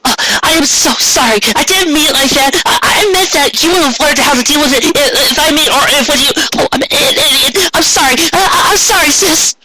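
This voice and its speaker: Storybook mare, female adult pony played by a man: pitched well up, flustered older sister, stumbling apologetically over words. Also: a little fast, few pauses, bright, forceful tone, a little hiss or hum, exaggerated voice, low-quality recording. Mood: mortified, apologetic. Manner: stammering, repeating apologies. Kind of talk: fan fiction audiobook character voice.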